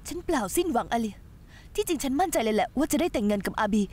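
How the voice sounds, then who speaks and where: A woman's voice: a little high, animated, quick pace, darkish tone. An actress dubbing a vengeful, obsessive young woman who threatens and gloats in a TV drama. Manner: menacing, gloating. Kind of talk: dubbed TV drama.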